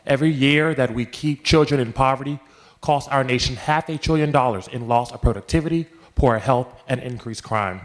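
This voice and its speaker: A young man from Philadelphia, a student leader, reading a prepared statement in a measured, statistic-heavy delivery. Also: medium pitch. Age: young adult.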